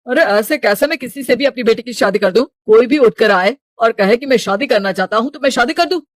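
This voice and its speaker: A man's voice: very high-pitched, brisk, no echo. Stern, domineering rich father acted by a man. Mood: angry. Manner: domineering, scolding. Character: stern, money-minded middle-aged rich father.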